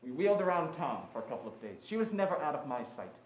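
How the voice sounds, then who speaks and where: A man's voice: on the high side, very animated, quick pace. A man playing a world-weary private detective on stage, with terse, slangy noir delivery. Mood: matter-of-fact. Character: hard-boiled male private detective, world-weary, lovestruck, defiant. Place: indoor stage play performance. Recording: roomy stage recording with faint noise.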